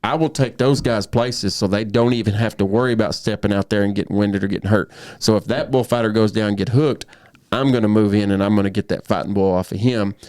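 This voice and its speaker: A man's voice: on the low side, fairly even intonation, quick pace, darkish tone, no echo, clean studio sound. A man in his thirties, a professional bullfighter, telling his story earnestly in a casual podcast conversation, often restarting his sentences. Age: 30s.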